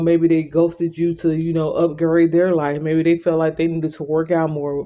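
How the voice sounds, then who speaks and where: A man's voice: on the high side, warm tone. A man giving an informal tarot reading, talking directly to the listener in a conversational, repetitive way. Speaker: tarot reader.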